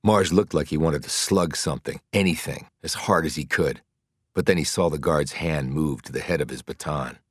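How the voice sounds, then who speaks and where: A man's voice: extremely low pitch, moderate pace, no echo, studio quality. A man reading scripted, dramatic thriller narration as an audiobook narrator. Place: dry studio.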